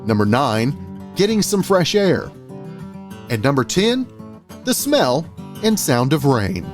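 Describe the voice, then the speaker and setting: A man's voice: a little high, big pitch swings, takes it slowly, faint music behind. A man hosting a radio talk show, asking questions in a friendly, folksy way and often restarting his sentences. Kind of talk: casual radio interview.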